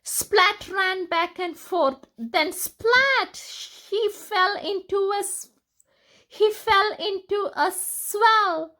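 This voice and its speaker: A woman reading picture books aloud to young children in an expressive, deliberate storytelling manner. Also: very high-pitched.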